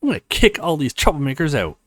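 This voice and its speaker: A man acts out a stern female professor from a visual novel. The delivery is haughty and scolding, with big swings in pitch and a close, dry sound. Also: big pitch swings, fast talker, no room sound. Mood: indignant, scolding. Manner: imperious, scolding.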